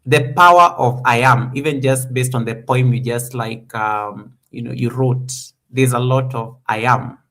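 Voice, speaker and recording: A man in his thirties with a gravelly, creaky voice hosting a relaxed live chat. He talks in an encouraging way and often loops back to restart his sentences. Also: mid-range voice, a little slow, hardly any pauses. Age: 30s. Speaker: host. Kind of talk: casual live-stream interview.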